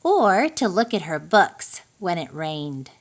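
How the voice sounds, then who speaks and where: A woman reading a children's picture book aloud in an expressive, deliberate storytelling manner for young listeners. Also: on the low side. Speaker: storybook reader.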